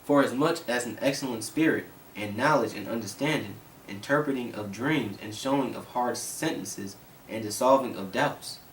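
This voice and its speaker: A man's voice: mid-range voice, normal speed. A man reading scripture aloud in a small group discussion, rising in emphasis on key phrases. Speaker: scripture reader. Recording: echoey room, distant mic, faint hiss.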